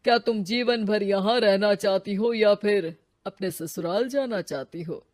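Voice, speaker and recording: A man playing a calm, fatherly old hermit in a moral story, speaking soothingly and solemnly. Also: high-pitched, measured pace, no room sound, clean studio sound. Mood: gentle.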